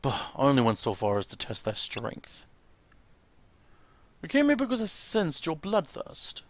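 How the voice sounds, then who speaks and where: A man's voice: on the high side, wildly swooping pitch, a little slow, no echo. A man voicing stern, haughty fantasy warriors from a game, reading their dialogue aloud in a declamatory, confrontational way. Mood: stern, challenging.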